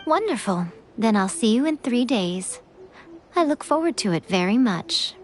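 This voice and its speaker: A woman playing a composed, sly shrine priestess in a video game, speaking in a measured, knowing, theatrical way. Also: fairly high pitch, very animated. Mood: sly, breezy. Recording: game music clearly behind.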